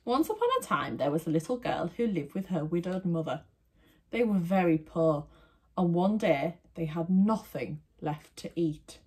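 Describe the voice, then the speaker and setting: Woman reading to children, lowish voice. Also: husky. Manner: expressive, deliberate, addressing children. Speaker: primary school teacher reading a story. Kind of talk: children's storytelling.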